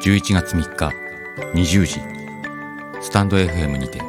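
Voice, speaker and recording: A man's voice: deep voice, normal speed, natural voice. A man playing a solemn narrator in a dramatic scripted trailer for an audio drama. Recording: prominent mallet music behind voice. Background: music with mallet percussion.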